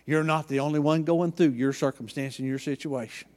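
A man with an Oklahoma accent preaching a sermon in a church, with a folksy manner, dropping low and quiet and then rising loud. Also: steady tone, a little fast, long reverb tail. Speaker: pastor.

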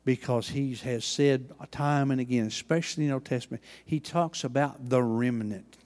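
A man with an Oklahoma accent preaching a sermon in a church, with a folksy manner, dropping low and quiet and then rising loud. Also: normal speed, big-room sound. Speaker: pastor.

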